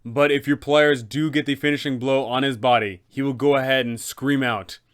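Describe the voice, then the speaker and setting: A man's voice: mid-range voice, fairly even intonation, moderate pace, fairly bright tone, smooth. A man narrating advice for game masters, enthusiastic and speaking straight to viewers. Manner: enthusiastic, addresses viewers directly. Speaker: game master guide host.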